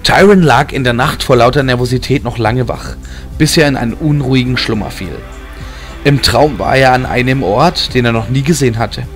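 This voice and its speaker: A male narrator reads a fantasy audiobook in a mid-pitched voice. The delivery is scripted storytelling, with film music playing behind it. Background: background film music.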